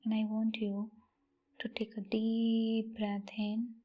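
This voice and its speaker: A woman leading a guided meditation in a soft, breathy, near-whispered voice, with gentle phrasing and long pauses. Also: a little high, completely flat delivery, lo-fi sound. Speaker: meditation guide, channel host.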